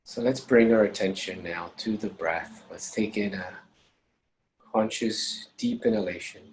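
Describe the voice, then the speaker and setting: A man leading a guided meditation as instructor, speaking calmly in short measured phrases with long pauses. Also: lowish voice, slight background noise, poor audio.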